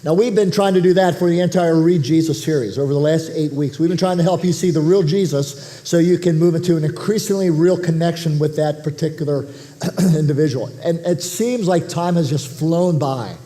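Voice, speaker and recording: A man preaching a sermon as pastor, nasal from a head cold, talking in a conversational way with restarts and throat clearing. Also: a little high, brisk, warm tone. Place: church auditorium.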